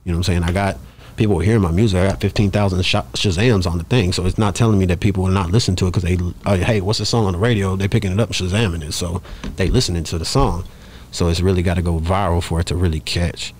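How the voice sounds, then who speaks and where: Deep-voiced, fast-talking man. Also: fairly even intonation, a little mellow, a little hiss or hum. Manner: emphatic, repetitive, peppers talk with "you know what I'm saying". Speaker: interview guest, rapper. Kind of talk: casual interview talk. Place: small podcast studio in a shop. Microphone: close studio microphone on stand.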